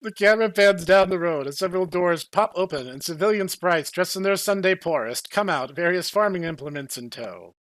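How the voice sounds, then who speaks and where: A man narrates a game story playfully as a podcast host. His pitch is a little high and very lively, with a bright tone and a dry, close sound. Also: a little fast, few pauses, no room sound.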